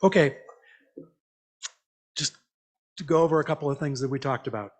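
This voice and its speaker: A man giving an informal, interactive church message in a conversational, reflective, halting way, often checking in with questions. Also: on the high side. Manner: invites listeners with questions. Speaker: lay church speaker.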